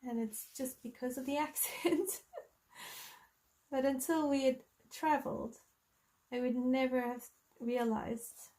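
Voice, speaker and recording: A woman's voice: high-pitched, fairly even intonation, slow pace, mellow. A woman in her thirties from South Africa, with a South African accent, chatting casually and thinking aloud while she colors on a live stream. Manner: chatty, friendly, thinks aloud with many ums. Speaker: coloring channel host.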